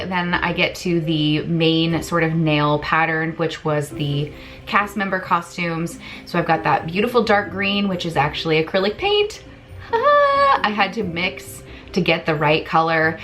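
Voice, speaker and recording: A woman's voice: lowish voice, fairly bright tone. Chatty, self-mocking woman vlogger. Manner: chatty, self-deprecating, jokey. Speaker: craft vlogger. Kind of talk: casual tutorial chat. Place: home room, indoors.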